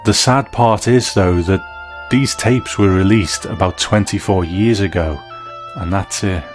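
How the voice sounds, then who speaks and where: A man's voice: deep voice, a little slow, over music. A man hosting and narrating a scripted documentary, putting questions to a guest. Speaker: host and interviewer.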